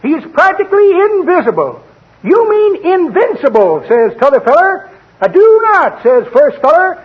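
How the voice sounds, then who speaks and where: High-voiced old codger, 1940s radio. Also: male voice, very animated, moderate pace. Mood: folksy joking. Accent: American. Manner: drawling, folksy storytelling. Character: cheery, tall-tale-telling old codger, folksy man. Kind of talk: 1940s radio comedy acting. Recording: dull 1940s radio recording, loud audience, noise.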